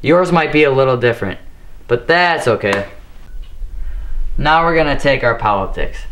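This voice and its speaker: A teenage boy hosting a mock cooking show in a home kitchen, reciting his lines in an earnest, deadpan way. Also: medium pitch, moderate pace, slight background noise. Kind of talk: scripted mock cooking demonstration. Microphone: camera mic, a few steps away.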